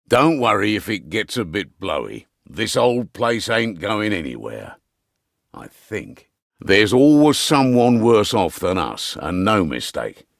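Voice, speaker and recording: Gruff innkeeper for a fantasy game, blunt n wry, pitch swings all over, super dry recording. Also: male voice, fairly bright tone, dry recording, heavily acted. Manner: wry, blunt, colloquial banter. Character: gruff, wry veteran innkeeper and mission quartermaster, male. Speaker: quest-giver in a fantasy game. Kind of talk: fantasy video game character barks.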